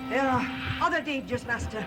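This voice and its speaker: Female actor as stern devoted servant, solemn, insistent, formal gothic melodrama, loud music behind. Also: a little high, fairly quick, lightly acted. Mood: stern, commanding. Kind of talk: 1960s gothic soap opera acting. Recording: old TV soundtrack, loud music.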